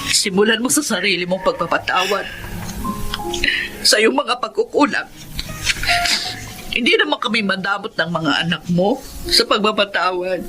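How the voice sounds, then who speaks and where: A woman's voice: mid-range voice, lively intonation, moderate pace, pauses often, over music, lightly acted, rough recording. An actress playing a long-suffering, wronged wife and mother in a Filipino radio drama, tearful and pleading, with a Filipino accent. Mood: gently forgiving. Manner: tearful, emotional delivery.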